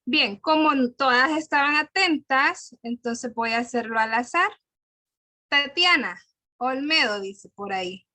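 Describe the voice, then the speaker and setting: A woman's voice: sits high, expressive, measured pace, sharp tone, no echo. A woman moderating an online workshop. She calls on participants by name and encourages them. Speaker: forum facilitator. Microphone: video call.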